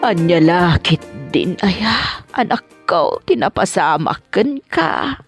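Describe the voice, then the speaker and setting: A woman's voice: lowish voice, big pitch swings, slow, gravelly, soft background music, heavily acted. A woman playing a long-suffering, loving mother with a plaintive, dramatic manner in an Ilocano radio comedy drama. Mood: tearful acceptance.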